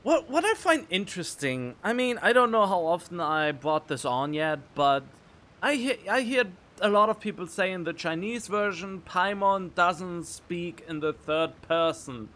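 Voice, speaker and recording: A man giving casual let's play commentary as a game streamer, halting and repeating words as he thinks aloud. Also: high-pitched, expressive, fairly bright tone.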